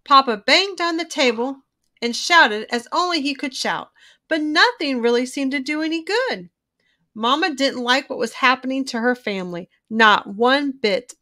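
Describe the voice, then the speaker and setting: A woman reading a children's storybook aloud in an expressive, emphatic way, drawing out key words. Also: high voice, normal speed. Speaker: children's book reader.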